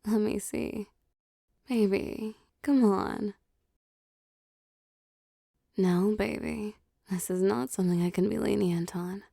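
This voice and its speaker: A woman playing a possessive, doting, wealthy vampire boss in intimate roleplay audio, soft and teasing, often slipping into whispers. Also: mid-range voice, steady tone, vocal fry, dry recording, clean studio sound. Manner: slow, teasing, pampering, softly commanding.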